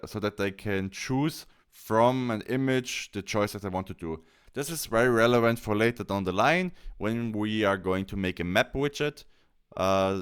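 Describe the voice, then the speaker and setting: A man presenting a software tutorial, explaining things step by step and often correcting himself as he goes. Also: on the low side, clean tone.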